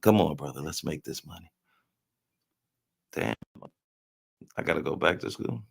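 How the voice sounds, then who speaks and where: A man's voice: a bass voice, sing-song, measured pace, creaky, breathy edge. A man hosting a casual livestream, chatting loosely and joking with viewers in the chat. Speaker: livestream host. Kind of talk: casual live-stream commentary, reading chat.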